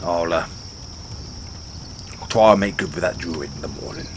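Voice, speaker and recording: Man acting as a orc barbarian, low-pitched, unhurried, bashful brawler, halting and sheepish, fantasy roleplay, night crickets behind. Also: noisy background, lightly acted. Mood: friendly, reassuring. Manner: halting, fumbling speech with sheepish stammers. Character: gruff, good-hearted male orc barbarian, bashful loyal brawler. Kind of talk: fantasy audio roleplay, intimate one-sided dialogue.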